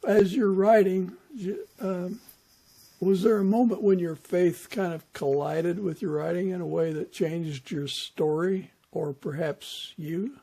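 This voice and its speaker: Male podcast host, husky and mellow, measured interview delivery. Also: high-pitched, steady tone. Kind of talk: interview conversation.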